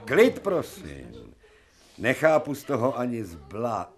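An actor playing an older, imperious professor in a radio drama, pompous and elaborately polite with dry irony. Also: male voice, medium pitch, huge pitch swings, faint music behind. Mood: indignant, amused. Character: courtly, ironic, imperious male professor of surgery.

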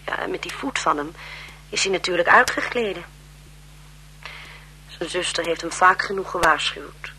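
A chatty, deferential governess in a seventies radio play, with a bright, forward voice. She is polite and eager, and dramatic with news. A faint hiss sits on the old recording. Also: fairly low pitch, moderate pace, pauses often, rough recording. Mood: shocked, gossipy. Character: deferential, chatty, gossipy governess to a marquise's children. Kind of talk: 1970s Dutch radio drama acting.

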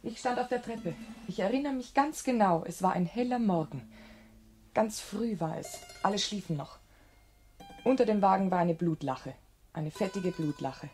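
A woman's voice: medium pitch, animated, moderate pace, darkish tone, soft background music, lightly acted. An actress playing a proud, disdainful young woman with a cool, forthright manner in a radio play. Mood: wistful recollection. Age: young adult. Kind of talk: German radio play acting.

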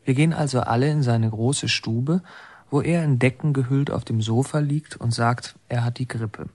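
An actor playing a policeman's son who narrates his memories in a measured, observant way for a radio drama. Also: mid-range voice, darkish tone, lightly acted. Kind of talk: scripted radio drama narration, present tense.

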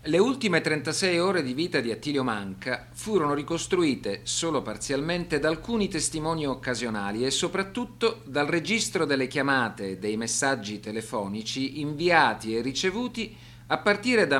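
A man's voice: a little high, sing-song, normal speed, a bit bright. Male narrator, serious, widely varied intonation. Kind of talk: scripted documentary radio drama narration.

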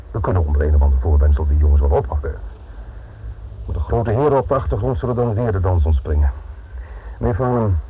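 Stern, low-voiced police inspector, tinny recording. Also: male voice. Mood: firm, explaining. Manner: formal, clipped, dryly sceptical, lecturing. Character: stern, sardonic male police inspector warning an amateur. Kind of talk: radio play acting. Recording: tinny, band-limited old radio recording with noise.

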